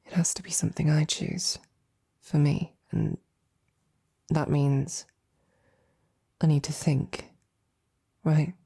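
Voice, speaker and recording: A woman playing a loving, flustered lady knight in an intimate roleplay audio drama, speaking softly and haltingly with tender, teasing affection. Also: low-pitched, fairly even intonation, heavily acted. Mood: earnest, tender. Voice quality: soft, breathy, husky. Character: loving, flustered female royal knight courting a princess.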